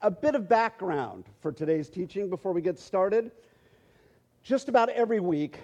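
A middle-aged man teaching at a church, relaxed and joking, with halting asides. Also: a little high. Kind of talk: conversational sermon.

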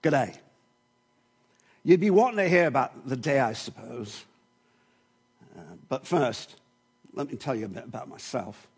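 A man's voice: mid-range voice, measured pace, long pauses, breathy edge. A man performing a plainspoken New Zealand shepherd in a monologue, with a put-on New Zealand accent, talking in a folksy way with chuckles and tag questions. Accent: New Zealand, put on with some English mixed in. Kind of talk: dramatic monologue in a sermon.

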